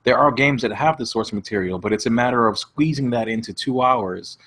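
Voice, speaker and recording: A man on a casual podcast panel, offering rebuttals in a somewhat halting way. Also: mid-range voice, a bit bright, slightly rough. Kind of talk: casual debate.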